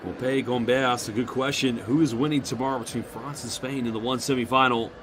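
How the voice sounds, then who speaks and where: A man's voice: medium pitch, fairly even intonation, a little fast. Chatty London play-by-play man calling basketball over a noisy arena feed. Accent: London English. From England. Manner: chatty, reads out viewer shout-outs between plays. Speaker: play-by-play commentator. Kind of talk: live basketball commentary.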